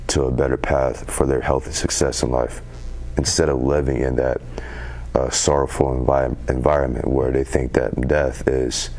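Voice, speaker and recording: A teenage young man, a youth council member, answering interview questions earnestly in a very deep voice with some halting fillers. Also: gravelly, darkish tone. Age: teens. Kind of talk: community TV interview.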